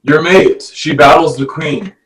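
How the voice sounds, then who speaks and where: A gruff, proud merman, read by a man in a fantasy script. He is curt and terse, a bit high for a man. The voice sits back from the microphone. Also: measured pace, lightly acted. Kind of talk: table read of a fantasy screenplay. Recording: distant from the microphone.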